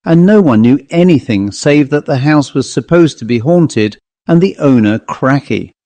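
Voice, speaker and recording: A man reading a classic ghost story as an audiobook narrator, in a measured, literary manner. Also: mid-range voice, animated, dark tone, no room sound.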